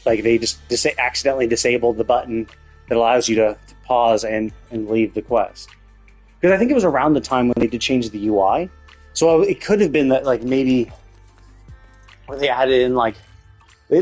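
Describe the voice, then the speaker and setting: A man chatting casually on a gaming livestream in a rambling, conversational way, with loose, casually profane talk. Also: medium pitch. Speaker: live streamer.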